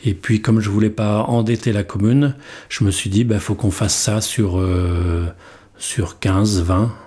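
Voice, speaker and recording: A middle-aged village mayor from Normandy, telling his story in a halting way with many pauses and restarts. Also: fairly low pitch, steady tone, darkish tone, husky. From Normandy, France. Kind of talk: interview testimony.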